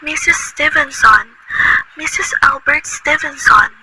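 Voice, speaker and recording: A woman acts a fretful bedridden wife in a radio play, high-voiced and imperious. Her lines pour out anxious and demanding. A loud hiss runs under the whole recording. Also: unhurried. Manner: shrill, agitated, imperious. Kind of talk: amateur radio play acting.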